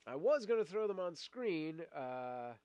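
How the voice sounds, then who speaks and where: A man's voice: fairly high pitch, big pitch swings, a little slow, mellow, clear, resonant tone, dry recording. Middle-aged man live-streaming with a cold. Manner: rambling, many fillers and restarts, laughs often. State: fighting a cold. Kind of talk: casual live-stream chat, reading viewer comments.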